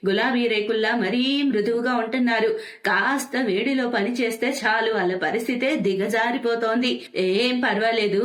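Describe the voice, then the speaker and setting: A woman voicing a fussy, weary elderly grandmother who complains in drawn-out phrases, in a moral-story cartoon. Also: on the high side, echoey room, natural voice. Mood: disapproving.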